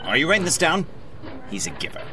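Showbiz publicist, highish voice, very animated, pushy, repetitive sales patter, audio comedy, music underneath. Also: male voice, quick pace, background noise. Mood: emphatic, protective. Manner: pushy, emphatic, piling up repeated phrases. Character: fast-talking defensive showbiz agent, salesman-like, a man. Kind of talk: comedic audio drama mock interview.

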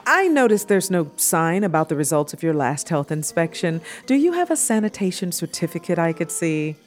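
A woman voicing a conscientious, somewhat anxious young woman in an audio drama, talking in an animated, apologetic way. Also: on the low side, normal speed, soft background music. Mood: worried.

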